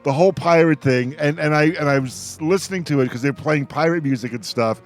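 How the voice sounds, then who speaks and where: A man hosting a radio roundtable, chatting as an enthusiastic fan in an effusive, halting way with repeated words. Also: fairly high pitch, soft background music.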